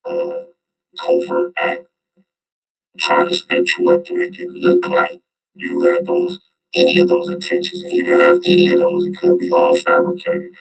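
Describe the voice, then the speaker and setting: Gravelly, very low-voiced man reacting off the cuff. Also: wildly swooping pitch, moderate pace, faint music behind, rough recording. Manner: informal, slangy, repeats himself for emphasis. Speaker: host commentator.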